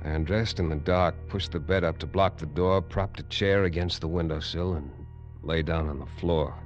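Lead: low-voiced man, hard-boiled first-person narrator, old radio, dull sound with faint music. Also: steady tone, moderate pace. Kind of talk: 1950s radio drama narration.

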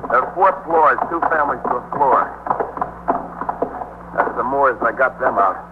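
An actor playing an excitable, helpful working-class male building superintendent in a 1950s radio police drama, talking colloquially and urgently. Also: medium pitch, moderate pace, lightly acted. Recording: very noisy narrowband 1950s radio recording.

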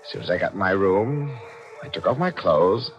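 Deep-voiced, cold, calculating killer. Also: male voice, expressive, soft background music. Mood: cold, methodical. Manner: deliberate, clipped, menacing narration and dialogue. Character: cold, calculating newspaper columnist turned murderer. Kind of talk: 1940s radio thriller acting. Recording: muffled vintage radio broadcast.

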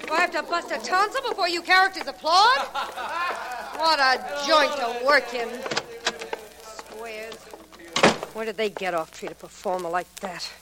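A woman's voice: sits high, measured pace, pauses often. A woman acting a brassy, insolent nightclub singer and blackmailer with sassy, slangy delivery in a 1940s radio drama. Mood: scornful. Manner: sharp, sassy, slangy wisecracking.

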